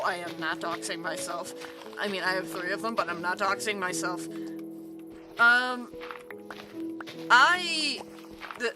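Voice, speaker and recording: Rough-voiced woman streamer over music. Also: fairly high pitch. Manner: rambling, swearing, exasperated. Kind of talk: casual live stream chat while gaming. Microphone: desk microphone with mute button. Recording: music playing under the voice. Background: background music.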